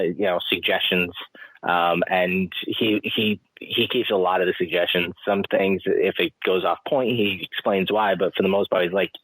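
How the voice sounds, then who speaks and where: A man who edits scripts, explaining his work in a measured way full of hesitant fillers. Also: fairly low pitch, a bit bright, no echo, rough recording. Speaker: script editor and writer. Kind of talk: casual interview chat.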